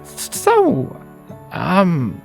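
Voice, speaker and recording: A man's voice: sits high, wildly swooping pitch, drawn-out words, vocal fry, darkish tone, faint music behind, pitched above the performer's own voice, full character voice. A man voicing a timid, easily flustered young male pony with a hesitant, stammering delivery in a story reading.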